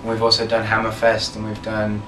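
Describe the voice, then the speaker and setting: A young man from Birmingham talks casually in an interview, steady and measured with many fillers, as band leader. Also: lowish voice. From Birmingham, England. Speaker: band leader, guitarist and singer. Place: band label office. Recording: roomy office, distant mic, music behind.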